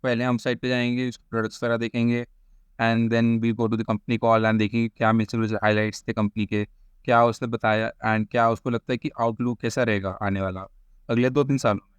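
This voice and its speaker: A man's voice: mid-range voice, level tone, fairly quick, clear, resonant tone, dry recording. A man with an Indian accent giving an informal stock explainer, switching often between Hindi and English as he addresses viewers familiarly. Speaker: stock analysis presenter. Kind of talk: informal explainer commentary with screen share.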